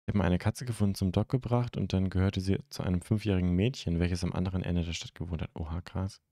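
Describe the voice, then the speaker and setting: Deep, mellow young male streamer. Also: steady tone, quick pace, vocal fry, no room sound, studio quality. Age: young adult. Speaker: streamer hosting a live chat show. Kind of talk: casual chat, answering viewer comments. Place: indoor streaming room at home. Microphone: close microphone on a stand.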